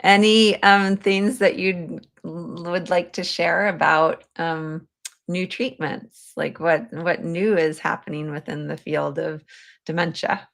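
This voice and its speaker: Female podcast host, lower-pitched with a creaky edge, casual and conversational. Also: steady tone, slow, fairly bright tone.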